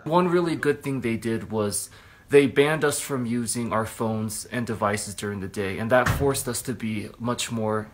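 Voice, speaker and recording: A man's voice: medium pitch, unhurried, noisy background. An American man giving an interview testimonial as a camp participant. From United States. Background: music.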